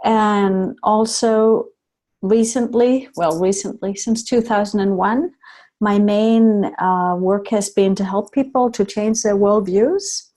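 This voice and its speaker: A woman from Denmark introducing herself on a group video call, calm and measured. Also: mid-range voice. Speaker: course participant.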